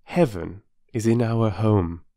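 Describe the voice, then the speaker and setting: A reader voicing a gentle, earnest, dutiful middle-aged woman who explains patiently with a low voice and a slightly superior tone. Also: slow pace, no room sound, clean studio sound. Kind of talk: audiobook character voice.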